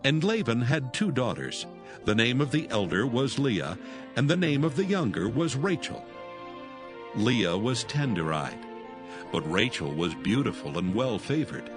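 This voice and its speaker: A man narrating dramatized scripture as a solemn, measured storyteller. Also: medium pitch, sing-song. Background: music.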